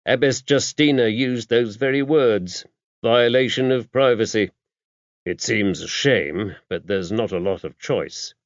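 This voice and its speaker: A man voicing a mild, knowledgeable clergyman in audiobook dialogue, measured and explanatory. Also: on the low side, no echo, natural voice. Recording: dry, dull lo-fi audio.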